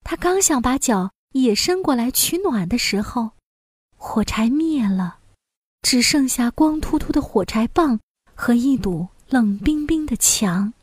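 A woman with a fairly high voice narrates a fairy tale for young children. Her storytelling is expressive and scripted, and the recording is close and dry. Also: measured pace, slightly rough, no room sound.

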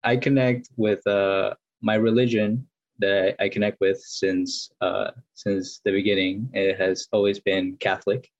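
A man's voice: on the low side, level tone, unhurried, no room sound, dull audio. A man in his twenties from Peru, answering interview questions hesitantly with many fillers and restarts. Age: 20s. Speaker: guest.

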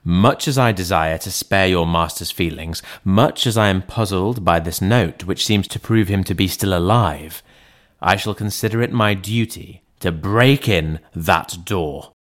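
A man reading the part of a sober, rational gentleman lawyer in an audiobook, in a measured, formal manner. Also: sits low. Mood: grave, resolute.

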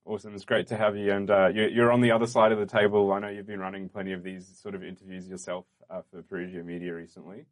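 Earnest low-voiced male podcast host. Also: level tone. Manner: earnest, measured and welcoming, with fillers. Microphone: studio microphone.

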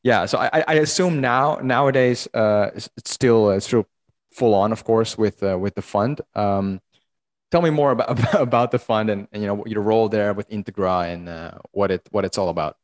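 A man with a low voice hosting a podcast interview. He talks casually and loosely, often restarting his sentences. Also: moderate pace. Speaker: podcast host.

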